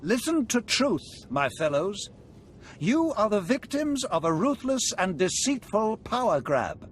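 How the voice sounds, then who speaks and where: An actor playing a sly, urbane, foppish schemer in a video game, with a suave, mocking and grandly rhetorical manner. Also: male voice, sits high, very animated, unhurried, slight background noise. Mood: oratorical.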